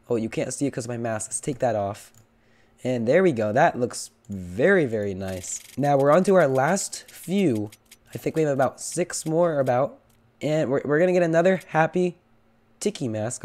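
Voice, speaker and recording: A young man with a hoarse, cracking voice from illness, chatting casually as he opens loot boxes and pleads for better luck. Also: a little high, darkish tone. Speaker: gaming channel host. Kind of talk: casual game commentary.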